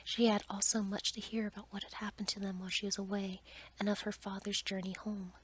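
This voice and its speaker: A woman narrating a bedtime story as ASMR in a breathy whisper. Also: mid-range voice, level tone, brisk, a little hiss or hum.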